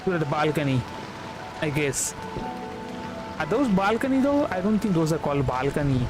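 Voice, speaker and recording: A man with an Indian accent giving casual live game commentary, halting and repeating himself with many fillers. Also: a little high, big pitch swings, poor audio. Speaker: game streamer. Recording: stream with game music behind. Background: video game music.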